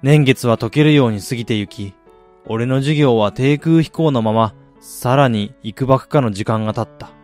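A man acting in a radio drama as a driven businessman and husband, telling his life story in a measured, reflective way. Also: medium pitch, darkish tone, lightly acted. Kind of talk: radio drama monologue, literary narration. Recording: music bed behind the voice.

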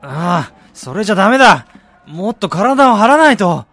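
A man's voice: sits high, very animated, normal speed, fairly bright tone, a faint crowd, full character voice. An actor playing a weary, disillusioned man in a radio drama, with a brooding, self-deprecating way of talking. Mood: irritated, shouting. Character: weary, disillusioned man back in his dying hometown. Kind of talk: radio drama first-person narration and dialogue.

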